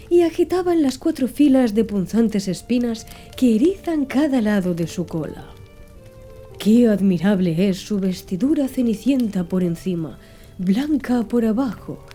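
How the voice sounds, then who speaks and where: A woman narrating an audiobook in a soft, calm, measured ASMR reading style. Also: a little high. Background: soft background music.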